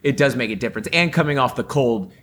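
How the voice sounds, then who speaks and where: A man's voice: mid-range voice, lively intonation, fast talker, a bit bright, slight background noise. A man co-hosting a podcast in casual chat, upbeat and rambling with lots of fillers, his voice still a little off after a sore throat. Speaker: podcast co-host.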